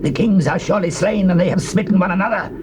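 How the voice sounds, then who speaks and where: An actor plays an exultant soldier rallying his side to seize the spoil. The voice runs high and eager, and clear tape hiss sits under it. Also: fast, close to an everyday voice. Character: excited male Moabite soldier.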